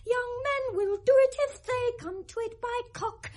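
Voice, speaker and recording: A young noblewoman driven mad by grief in classic radio Shakespeare. Her voice is extremely high and she takes her time, drifting distractedly between verse and sung fragments. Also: measured pace, a little mellow. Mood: giddy singing. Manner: wandering between speech and snatches of song. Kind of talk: 1970s radio Shakespeare acting, half sung.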